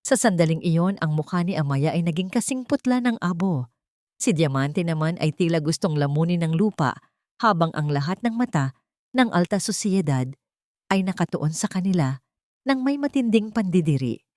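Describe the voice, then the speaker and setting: Low-voiced woman narrating a drama. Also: lively intonation, moderate pace, darkish tone, no room sound, studio quality.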